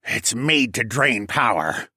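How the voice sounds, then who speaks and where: A man's voice: mid-range voice, expressive, slow pace, pushed and bright, breathy edge, no room sound, clean studio sound, heavily acted. A man voicing a hateful, fanatical penguin-man assassin in an audiobook, with a squawking, hissing, sneering delivery. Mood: defiant boasting. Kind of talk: acted audiobook dialogue.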